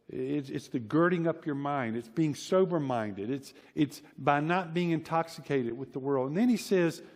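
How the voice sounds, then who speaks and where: Male preacher, middle-aged, Southern US accent, slightly high-pitched, informal storytelling delivery, long hall reverb. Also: a little mellow. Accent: Southern American. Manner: folksy, informal, repeats phrases for emphasis. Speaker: pastor preaching. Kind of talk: conversational sermon with personal anecdotes. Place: church hall, preaching to a congregation.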